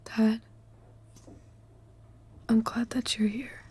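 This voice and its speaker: A woman's voice: fairly high pitch, flat delivery, drawn-out words, darkish tone, husky, heavily acted. A woman playing an injured, affectionate teenage girl in a video game, weak and in pain.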